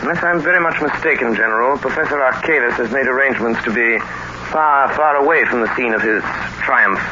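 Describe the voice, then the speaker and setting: An actor playing a persuasive young gentleman sleuth, earnest and polished, in an old-time radio drama. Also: medium pitch, brisk, close to an everyday voice. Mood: urgent, insistent. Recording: muffled, noisy old radio recording.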